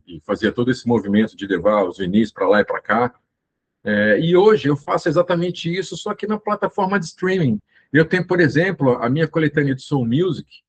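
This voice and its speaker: A man's voice: on the high side, slightly rough. A man in his fifties from the interior of São Paulo, hosting his channel and reminiscing in a rambling, casual monologue full of restarts. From Brazil, interior of São Paulo state. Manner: rambling, with many fillers and restarts. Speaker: channel host.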